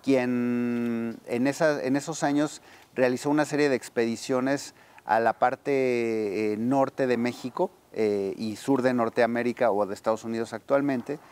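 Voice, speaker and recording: A man's voice: medium pitch, fairly even intonation, a little slow, a little mellow, smooth. A man, explaining thoughtfully in an interview and often searching for words. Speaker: archive deputy director, interviewee. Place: garden outdoors. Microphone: lapel.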